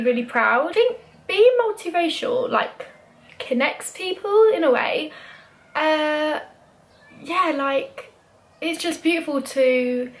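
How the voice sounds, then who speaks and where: A young woman with a British accent chats to her camera in a relaxed, open way, dropping "like" and "um" all through. Her voice sits a touch higher than most women's. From Britain. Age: young adult. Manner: chatty, lots of "like" fillers. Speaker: vlogger. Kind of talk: casual chat.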